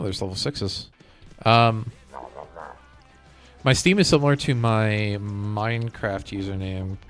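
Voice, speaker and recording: Casual, rambling male game streamer. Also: lowish voice. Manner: rambling, hops between thoughts. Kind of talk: casual live-stream gaming chat.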